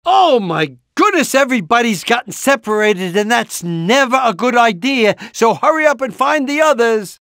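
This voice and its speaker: A man's voice: very high voice, very animated, normal speed, hardly any pauses, sharp tone, no room sound, studio quality, heavily acted. A man voicing an anxious, encouraging, portly middle-aged rooster father in a cartoon video game, with a hesitant, rambling, fatherly manner. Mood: worried urging. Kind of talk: animated video game character lines.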